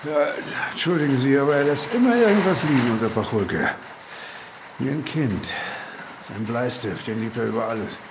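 An actor playing a polite, old-fashioned older village man in a radio drama, gravelly and husky, evasive and hesitant. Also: medium pitch, expressive, moderate pace, noisy background, natural voice. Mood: apologetic. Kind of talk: naturalistic radio drama acting.

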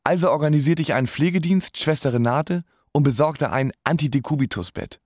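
An actor playing a wry grown son who narrates in a matter-of-fact way in a radio drama. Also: male voice, a little high, brisk, a little mellow, no echo, studio quality, close to an everyday voice. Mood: wry, sad. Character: wry grown son recalling his ailing mother. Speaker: first-person narrator.

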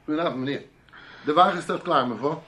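An actor in a Dutch radio play playing a loyal, deferential chauffeur who chats plainly and searches for words. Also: male voice, fairly high pitch, quick pace, pushed and bright, a little hiss or hum. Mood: deferential, friendly.

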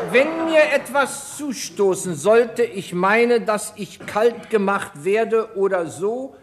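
Ein Schauspieler spielt in einem Gerichtshörspiel einen förmlichen, pompösen Staatsanwalt, der pointiert und bohrend spricht. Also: male voice, high-pitched. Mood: mocking recitation. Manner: formal, theatrical, pointed. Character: pompous, insistent male public prosecutor in court. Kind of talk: German radio courtroom drama acting.

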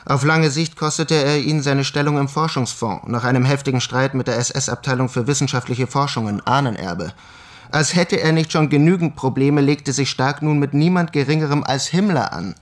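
A man's voice: mid-range voice, normal speed, natural voice. An actor playing a probing, matter-of-fact male investigator in a radio drama, inquisitive and businesslike in his way of talking. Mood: concerned, businesslike. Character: brisk, probing male investigator questioning scientists.

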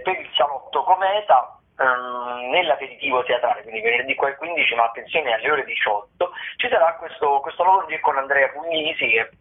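A man who is a theatre critic, calling in with the week's listings in a hesitant, rambling way full of fillers. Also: medium pitch. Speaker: theatre critic, weekly contributor. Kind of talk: casual phoned-in theatre listings. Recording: husky phone line over radio.

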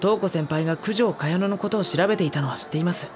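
Woman as an earnest young high school boy. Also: quick pace, music in the background, natural voice. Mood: firm, accusing. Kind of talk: Japanese audio drama acting.

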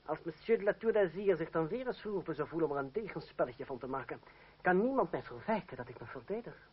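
An actor playing a proud young fencing master, formal and ironic, in a Dutch radio play. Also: male voice, a little high, animated, quick pace, husky. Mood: resolute. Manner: formal, ironic, self-assured. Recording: dull, noisy old radio recording.

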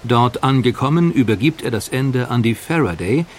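A man reading a scripted documentary narration in a measured, factual way. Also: medium pitch, animated, a little hiss or hum.

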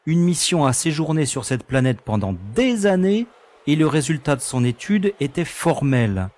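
A man's voice: on the high side, very animated, moderate pace, a little mellow. An actor playing a blunt, skeptical male starship pilot who talks in an incredulous, casual way. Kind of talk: audio drama acting.

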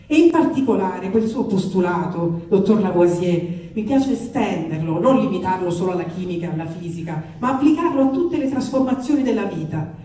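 A woman's voice: mid-range voice, expressive, a little fast, warm tone. Echoey, distant woman reading expressively. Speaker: reader of comic letters. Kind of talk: expressive dramatic reading of a letter. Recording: echoey room, distant mic, faint noise.

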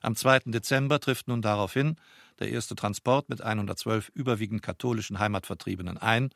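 Dry-recorded, creaky, low male report reader. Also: fairly quick, a bit bright, dry recording. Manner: formal, bureaucratic. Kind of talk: reading an official report.

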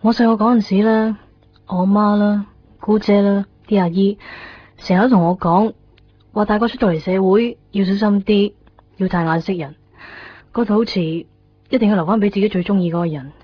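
A woman's voice: mid-range voice, steady tone, normal speed, close to an everyday voice. A woman playing a wistful, innocent young woman in love in a radio drama, dreamy and confiding. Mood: confiding, anxious. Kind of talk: Cantonese radio drama narration and dialogue. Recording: dull lo-fi radio recording, music behind.

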